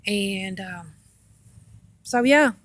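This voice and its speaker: A woman casually telling rambling personal stories in a halting, meandering way, often repeating herself. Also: medium pitch, expressive, a bit bright, dry recording. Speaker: storyteller on own video channel.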